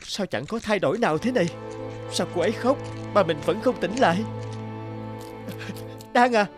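An earnest young cartoon office guy, kinda high voice, dramatic, music behind. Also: normal speed. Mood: distressed, pleading. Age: young adult. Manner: expressive, dramatic. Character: earnest young office manager, groom-to-be, easily distressed. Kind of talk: cartoon dubbing. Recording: music under the voice.

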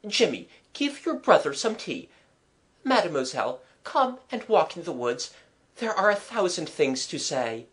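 A woman voicing an earnest, quietly lovestruck gentleman in a storybook, courteous and heartfelt. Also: on the low side, very animated, a little slow, voice pushed up. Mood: happy, heartfelt.

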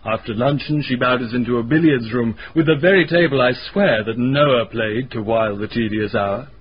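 An actor playing a genteel, witty gentleman telling his story in a radio drama, in a wry, wordy, polite manner. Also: mid-range voice, moderate pace, husky, lightly acted. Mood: dryly amused. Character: genteel, witty gentleman recounting his eerie castle stay. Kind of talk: radio drama narration and acting. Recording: dull radio recording, faint noise.

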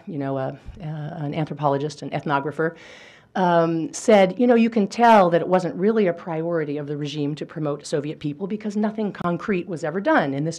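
A middle-aged woman giving an academic history lecture in a lecture hall, talking thoughtfully with fillers and restarts. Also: low voice.

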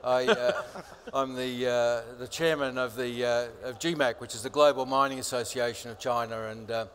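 A man's voice: medium pitch, steady tone, moderate pace, fairly bright tone. A man moderating a conference panel on stage, hesitant, with many fillers and a joking tone. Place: large conference stage with audience.

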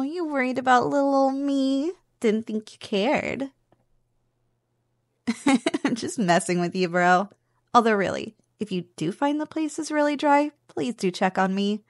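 A woman's voice: a little high, a little slow, creaky, no room sound, clean studio sound. A woman playing a playful, teasing slime girl roommate in a casual, chatty ASMR roleplay. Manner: teasing, chatty, conversational with chuckles. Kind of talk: ASMR roleplay, one-sided casual conversation.

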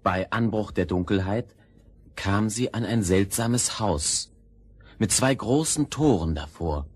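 Slow, husky male fairy-tale narrator. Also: on the low side. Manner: measured, expressive. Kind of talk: scripted fairy-tale storytelling.

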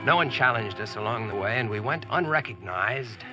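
A man's voice: on the low side, lively intonation, moderate pace, close to an everyday voice, low-quality recording. An actor playing a practical modern American man among knights, narrating and speaking plainly in 1940s radio style. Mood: reflective narration. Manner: plain-spoken, instructive. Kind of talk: 1940s radio acting and first-person narration. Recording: vintage radio drama recording with music.

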